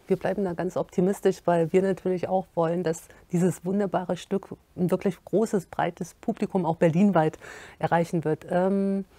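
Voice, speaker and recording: A woman in her thirties asks interview questions in a lowish, mellow voice, upbeat and optimistic. The sound is close and dry, with no echo. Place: cinema venue with curtain behind.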